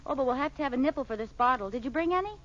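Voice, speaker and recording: An actress playing a scatterbrained, fretful housewife in an old radio sitcom. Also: female voice, sits high, lively intonation, very fast, fairly bright tone. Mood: flustered. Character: scatterbrained, fretful housewife minding a neighbor's baby. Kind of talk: 1940s-50s radio sitcom acting. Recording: noisy, muffled old radio recording.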